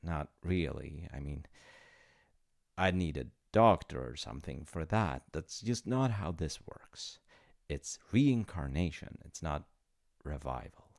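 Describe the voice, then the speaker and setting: A flustered, chatty demigod of reincarnation, acted by a man, speaking straight to the listener in a comic roleplay. The voice is on the low side with a gravelly fry, and it swings animatedly up and down. The delivery is unhurried and halting, full of sheepish apologies and salesman-like coaxing. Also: expressive, heavily acted. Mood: awkward, apologetic. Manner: awkward, stammering, apologetic salesman-like patter. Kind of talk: comedic audio roleplay addressed to the listener.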